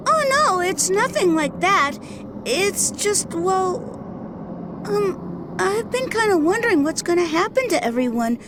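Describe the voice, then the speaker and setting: Very high-pitched, gentle young girl. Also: very animated, a little slow, background noise, exaggerated voice. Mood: worried. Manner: hesitant, soft-hearted, earnest. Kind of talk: animated video game voice acting.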